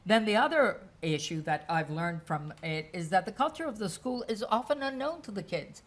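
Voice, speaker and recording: A woman giving an academic lecture, speaking in a measured way and laying out her points as lists. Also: medium pitch, lively intonation.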